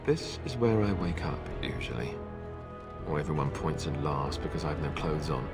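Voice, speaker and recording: A man's voice: extremely low pitch, lively intonation, moderate pace. A man playing a hesitant, self-deprecating, wry young prince who doubts himself, in dramatic fantasy game dialogue. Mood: dazed joking. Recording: long hall reverb, music underneath.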